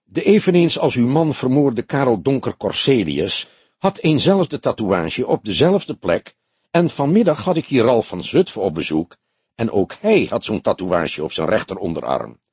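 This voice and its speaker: A male narrator acts out an old police detective, courteous and gently mocking. The husky voice moves in lively swings with solemn, measured phrasing, in a dry audiobook recording. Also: mid-range voice, no echo. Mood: solemn, probing. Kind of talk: audiobook character dialogue.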